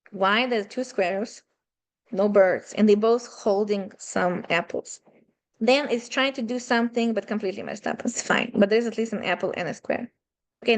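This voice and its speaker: A woman with a Russian-Ukrainian accent teaches an online math seminar from a room at home. She talks conversationally, with slightly non-native phrasing, and keeps asking rhetorical questions as she explains. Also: medium pitch. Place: home room with a running aquarium.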